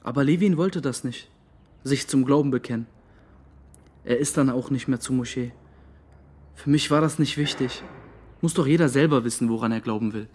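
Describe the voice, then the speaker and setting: A man's voice: medium pitch, normal speed, pauses often, darkish tone, husky, natural voice. An actor playing a slangy, earnest young man who feels hurt and defiant, in a naturalistic radio drama. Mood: earnest, calm. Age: young adult. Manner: slangy, earnest, plain-spoken. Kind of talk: interview monologue in a naturalistic German radio drama.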